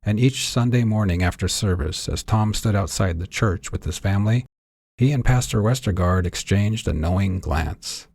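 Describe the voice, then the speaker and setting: A man narrates a piece of fiction in a scripted audiobook style. His voice sits low, with a husky, slightly rough grain. The sound is dry, with hardly any room in it. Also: moderate pace, a little mellow, no room sound. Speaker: narrator, author reading own story.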